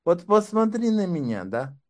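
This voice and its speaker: A man's voice: high voice, sing-song, normal speed. A man speaking as an interview guest and doctoral candidate, talking in a wry, sardonic way. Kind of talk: casual conversation.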